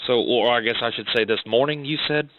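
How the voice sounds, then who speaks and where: A man's voice: medium pitch, fast talker, no echo. An American man speaking as a police detective questioning someone by phone, hesitant and apologetic as he explains himself. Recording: dull lo-fi recorded phone call.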